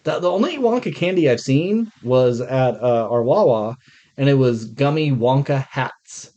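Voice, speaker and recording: A man's voice: medium pitch, animated, unhurried, dark tone, dull audio. An American man co-hosting a podcast, casually reviewing films with blunt, jokey complaints. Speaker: podcast co-host. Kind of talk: casual film review chat.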